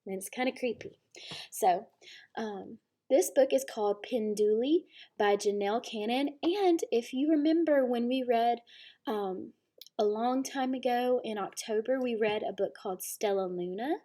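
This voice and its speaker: Woman, higher-pitched, teacher's read-aloud, simple explanations, speaking to small children. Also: a little slow, dark tone. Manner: explanatory, addressing young children.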